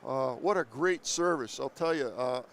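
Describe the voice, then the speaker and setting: A man hosting a live interview show on a busy trade show floor, enthusiastic and quick to cut in with praise. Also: mid-range voice, animated, slight background noise. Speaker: show host. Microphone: headset.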